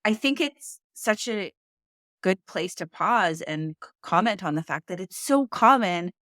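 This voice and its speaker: Woman, speaking teacher, earnest and emphatic, lowish pitch, dry sound. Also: expressive, unhurried, a bit bright, no echo. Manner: earnest and emphatic, repeats key points. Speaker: podcast co-host and speaking teacher. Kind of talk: casual book discussion.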